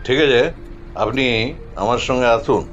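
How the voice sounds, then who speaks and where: An actor playing a stern, commanding police officer in an audio drama, brusque and interrogating, in slightly dull sound. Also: male voice, medium pitch, normal speed, soft background music, close to an everyday voice. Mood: firm. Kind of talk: Bengali audio drama acting.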